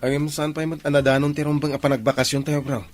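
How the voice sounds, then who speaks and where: A man's voice: fairly high pitch, little pitch movement, quick pace, faint music behind, close to an everyday voice. An actor with a Filipino accent playing an easygoing young groom-to-be bantering with his buddy in a radio drama. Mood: friendly.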